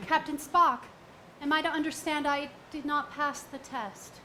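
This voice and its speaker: Woman as a prim, high-voiced alien lieutenant. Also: steady tone, a little slow. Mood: indignant. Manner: formal, prim. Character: earnest, indignant young female alien lieutenant, strictly logical. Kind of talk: comic stage parody acting. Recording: noisy live stage room.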